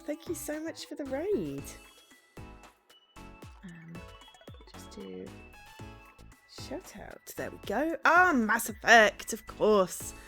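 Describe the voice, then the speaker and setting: A woman chatting as she streams a game, a bit hoarse from a sore throat, bubbly and quick to laugh. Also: on the high side, wildly swooping pitch, over music. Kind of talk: casual game-stream chat.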